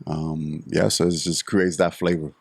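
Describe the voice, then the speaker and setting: A man from the Dominican Republic with a low, deep voice, talking as a guest in his cigar shop. He speaks earnestly and explains things patiently, and the sound is close and dry. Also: flat delivery, unhurried, creaky, slightly rough, dry recording. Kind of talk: casual interview chat.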